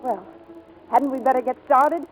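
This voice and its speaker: A woman's voice: sits high, normal speed, close to an everyday voice, low-quality recording. An actress playing an earnest, romantic but guarded young woman pilot in 1940s radio drama style. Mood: conciliatory. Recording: old 1940s radio broadcast, music behind.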